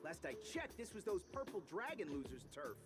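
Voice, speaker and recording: An actor voicing a tough, hot-headed young male mutant turtle who talks gruffly in street slang. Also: high-pitched, very animated, a little fast. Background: game music.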